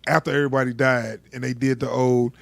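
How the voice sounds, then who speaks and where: A man's voice: mid-range voice, quick pace, vocal fry, dry recording. Laid-back man chatting about movies, dry sound. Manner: laughs often, relaxed colloquial speech. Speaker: podcast co-host, film critic. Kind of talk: casual movie review chat.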